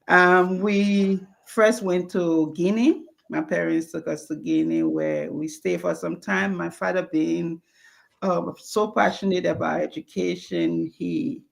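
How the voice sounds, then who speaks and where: A woman's voice: fairly low pitch, vocal fry. A woman from Liberia, telling her life story as an interview guest. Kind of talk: conversational interview.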